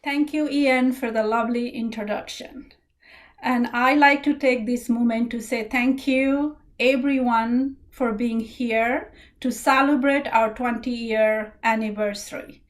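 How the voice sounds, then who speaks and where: A woman's voice: on the high side, fairly even intonation, unhurried. Earnest Nepali-accented woman. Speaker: charity founder. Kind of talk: speech at an online gala.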